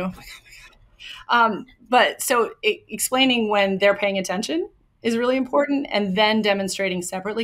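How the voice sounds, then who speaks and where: A young woman hockey coach with a soft voice, answering interview questions in an earnest, explanatory way with many ums and likes. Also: mid-range voice.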